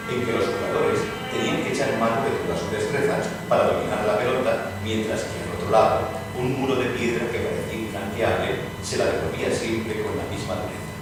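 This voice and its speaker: In a very echoey hall, far from the mic and over loud music, an actor plays an earnest liberal Spanish gentleman. He recounts history with solemn, rhetorical deliberation in a Spain accent, like a staged radio play. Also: mid-range voice, lightly acted.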